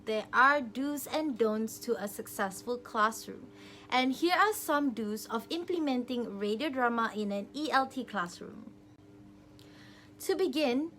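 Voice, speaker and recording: Slightly high-voiced young woman explaining. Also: fairly bright tone, a little hiss or hum. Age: young adult. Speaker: student presenter. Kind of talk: semi-scripted instructional presentation.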